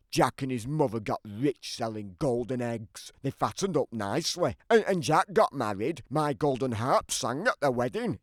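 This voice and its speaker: A man's voice: mid-range voice, no room sound, clean studio sound, close to an everyday voice. Man voicing a grumpy storybook giant, swooping delivery. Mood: wry, sulky. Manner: theatrical, emphatic storybook delivery. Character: grumpy, aggrieved, always-hungry big giant telling his side. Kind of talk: scripted children's storybook narration.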